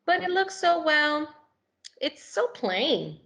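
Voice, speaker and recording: A woman's voice: high-pitched, slow, creaky, pitched above the performer's own voice, full character voice. A woman voicing a polite, timid storybook hermit crab who admires others and speaks expressively. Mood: doubtful. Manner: expressive, courteous, storybook exclaiming.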